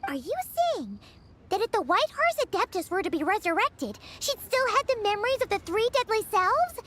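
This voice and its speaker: A woman playing a tiny, excitable, childlike fairy companion in a video game, with a squeaky voice and eager manner. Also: moderate pace, very noisy. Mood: wondering. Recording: loud game music behind.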